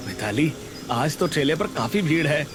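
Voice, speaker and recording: A man playing a gentle, supportive husband in a cartoon. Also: a little high, expressive, normal speed, over music, natural voice. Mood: encouraging. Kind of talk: scripted cartoon dialogue.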